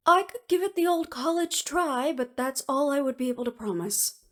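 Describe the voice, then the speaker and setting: A woman's voice: high voice, animated, moderate pace, pitched above the performer's own voice. A woman voicing a clever, unruffled imprisoned researcher in an audio roleplay, speaking precisely and with slight superiority. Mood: wry, agreeable. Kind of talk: scripted audio roleplay acting.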